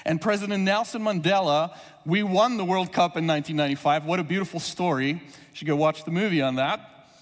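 A high-voiced man with a South African accent preaches in a relaxed, chatty way, wandering into asides and filling gaps with "um" and "uh." His voice carries a long reverb, as if it is filling a large church hall. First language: Afrikaans. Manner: conversational, storytelling with asides and fillers. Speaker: pastor. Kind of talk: sermon.

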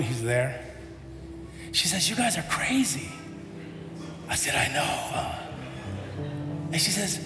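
A man preaching a sermon as pastor, telling a story and then building to an impassioned appeal. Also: high-pitched. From United States. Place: church hall. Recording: echoey hall with music.

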